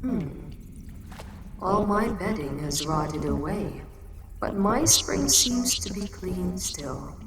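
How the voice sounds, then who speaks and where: Audio drama role, woman performer: giant kaiju queen, female, ancient and composed; deep register, very slow measured delivery, big echoing cave sound. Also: expressive, a loud crowd. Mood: patient, matter-of-fact. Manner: measured, matter-of-fact, slightly formal speech. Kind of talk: scripted roleplay audio drama monologue. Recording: very echoey cavern-like reverb, loud background noise.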